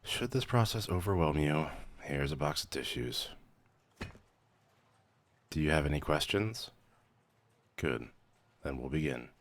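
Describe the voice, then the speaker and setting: A man playing a brisk, weary vampire mentor in a scripted ASMR roleplay, measured, clinical and dry in manner. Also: rumbling low, expressive, full character voice. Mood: clinical, professional. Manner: measured, clinical, dryly matter-of-fact, hesitant when surprised. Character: male vampire mentor, brisk weary clerk-medic, professional turning casual.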